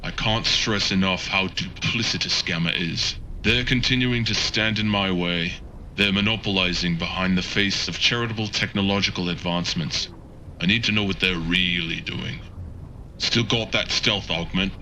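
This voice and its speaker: An actor playing an earnest, insistent tech ally of a hero in a sci-fi video game. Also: male voice, sits low, normal speed, pushed and bright, slight background noise. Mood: businesslike. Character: earnest, pressing male tech ally of vigilante. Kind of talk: dramatic game acting.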